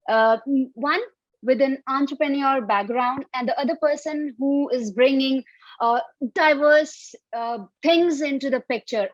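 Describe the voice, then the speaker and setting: A woman with a high-pitched voice hosts an interview over a video call, speaking in a measured way and pausing on uh fillers. The sound is dry and a little dull, like a modest laptop mic. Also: no echo. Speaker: host. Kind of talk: interview hosting.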